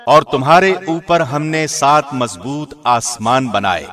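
A man reading a scripture translation in a formal, measured radio-host style. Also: medium pitch, animated, a bit bright, faint music behind.

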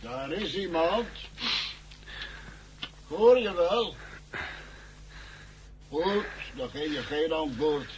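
A man's voice: fairly high pitch, lively intonation, drawn-out words, pauses often, dull audio. An actor playing a bitter, cantankerous man, grumbling sardonically in an old radio drama. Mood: irritable. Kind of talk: 1960s Dutch radio drama acting. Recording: slightly noisy old radio recording.

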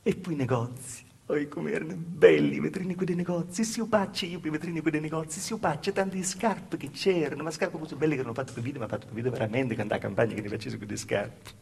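A calabrian village woman telling her hard childhood, husky voice, plaintive and naive, theatre monologue in dialect, keeps repeating words. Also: fairly high pitch, animated, a little mellow, exaggerated voice. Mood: wistful wonder. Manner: intimate, halting storytelling with insistent repetition. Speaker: stage actor.

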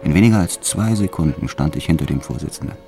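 A man's voice: very deep voice, lively intonation, quick pace, vocal fry, over music, full character voice. An actor playing a hardened, reckless French bank robber who tells his story in a cold, matter-of-fact, boastful way for a radio drama. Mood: tense, controlled. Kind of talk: first-person radio drama narration.

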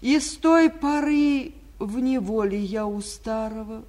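An actress playing Spring, a wistful nature spirit and mother, declaiming measured verse in a theatrical 1940s radio fairy-tale play. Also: on the high side, darkish tone. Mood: rueful, complaining.

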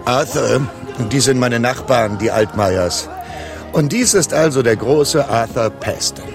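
An actor playing a brash, lecherous, fat Hollywood agent who boasts effusively in a radio play. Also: male voice, medium pitch, expressive, unhurried. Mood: jovial, boastful. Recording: radio drama with clear music behind.

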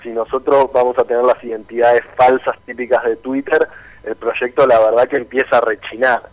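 A young man with a Buenos Aires Argentine accent speaks as a radio interviewee. His pitch is average for a man, and he lays out his answers in an articulate, explanatory manner. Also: flat delivery, fairly quick, rough recording. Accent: Buenos Aires Argentine Spanish. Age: young adult. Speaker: political party founder and interviewee.

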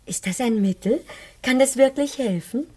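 An actress playing a reluctant, demure wife with a soft, husky voice in a classic radio play. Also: female voice, a little high, normal speed. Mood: doubtful, compliant.

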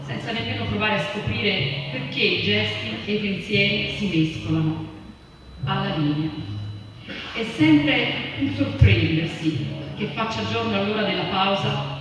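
Woman, literary reading, strong echo, long reverb. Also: medium pitch. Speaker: reader at a literary event. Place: large echoey venue at a public festival. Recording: distant echoey hall, music behind. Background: electronic music.

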